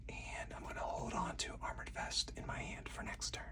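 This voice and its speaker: Someone speaking in a breathy, deliberate, hushed whisper, in the style of an ASMR game playthrough with commentary. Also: distant mic. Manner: slow, deliberate, hushed delivery. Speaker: solo card game player and host.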